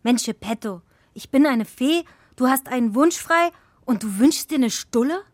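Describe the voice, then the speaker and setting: A woman's voice: sits high, animated, normal speed, dry recording. An actress in a children's radio play playing a good fairy who poses as a poor old woman, speaking persuasively. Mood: earnest, insistent.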